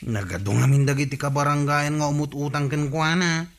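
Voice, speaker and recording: A man's voice: fairly high pitch, steady tone, fairly quick, natural voice. A man with an Ilocano accent playing an idle, complaining nephew in a radio comedy drama.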